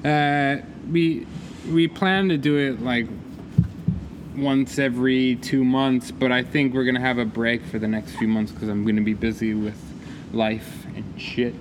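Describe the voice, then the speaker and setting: A man's voice: medium pitch, flat delivery. Laid-back man, noisy bar behind. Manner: laid-back, halting, filler-heavy. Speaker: guest, club event organizer. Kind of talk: casual drinking chat.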